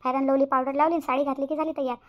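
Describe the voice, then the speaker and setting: Woman acting fast, high-pitched blunt sister-in-law. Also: flat delivery, close to an everyday voice. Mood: grumbling. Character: blunt, quarrelsome young sister-in-law at a family engagement. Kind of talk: Marathi family comedy cartoon dialogue. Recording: slightly dull lo-fi audio.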